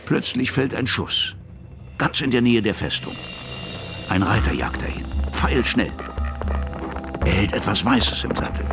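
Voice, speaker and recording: Radio drama: middle-aged army captain, plain-hearted storyteller, animated delivery over clear music. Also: male voice, fairly low pitch. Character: older Russian army captain, plain-hearted storyteller recalling frontier tales. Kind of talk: radio drama narration and dialogue.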